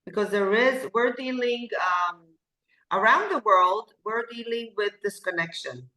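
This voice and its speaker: A woman hosting an interview, asking questions in halting phrases as she searches for words, over a video call. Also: mid-range voice, lively intonation, bright, forceful tone. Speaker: show host. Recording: echoey room.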